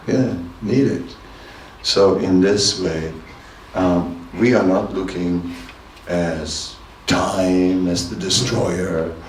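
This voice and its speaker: Slow, low-voiced older monk in a hall. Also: male voice, darkish tone, slight background noise. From Netherlands. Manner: chuckling, conversational storyteller. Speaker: monk giving a scripture class. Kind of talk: temple lecture with anecdotes. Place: temple hall. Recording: roomy hall from a distance.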